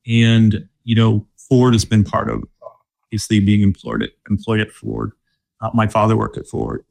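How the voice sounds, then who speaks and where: A man from Michigan speaking as a guest in a casual interview, relaxed and thoughtful, with many fillers and restarts. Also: fairly low pitch, gravelly, poor audio. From Michigan, USA. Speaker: guest, corporate mobility director.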